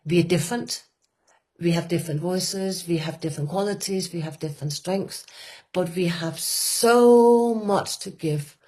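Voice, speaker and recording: A woman with a Danish accent speaking earnestly and with passion, pausing often with fillers and restarting her sentences. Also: fairly low pitch, mellow. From Denmark. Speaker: women's work facilitator. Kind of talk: reflective conversation.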